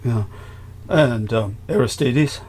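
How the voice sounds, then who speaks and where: A man's voice: medium pitch, slow, a little mellow, husky. A man hosting a podcast conversation, formal and effusive, often restarting his sentences. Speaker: host.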